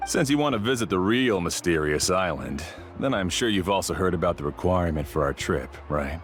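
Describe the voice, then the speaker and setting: Cocky top guide, fairly low voice with swinging delivery; game music behind. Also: male voice, animated, brisk. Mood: boastful. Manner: self-assured, haggling.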